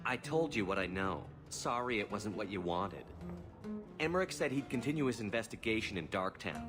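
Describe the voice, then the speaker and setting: A man's voice: on the low side, very animated, normal speed. An actor playing a smug, flirtatious male escort in a video game, with a teasing, drawling delivery and music behind. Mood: casual, suggestive. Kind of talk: video game dialogue acting.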